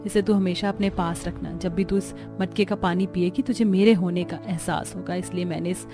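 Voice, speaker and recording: A woman's voice: fairly low pitch, fairly even intonation, normal speed, music in the background, natural voice. A woman voicing a poor, loving mother speaking tenderly in a cartoon moral story.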